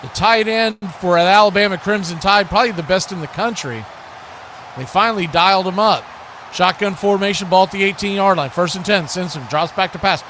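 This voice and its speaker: An American man does live football play-by-play in a high, bright voice. His calls are short and excitable. There is faint noise behind him. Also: brisk. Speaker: play-by-play announcer.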